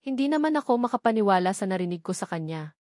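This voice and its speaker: A woman's voice: medium pitch, big pitch swings, fast talker, a little mellow, dry recording, studio quality. A woman reading a first-person novel aloud as a story narrator.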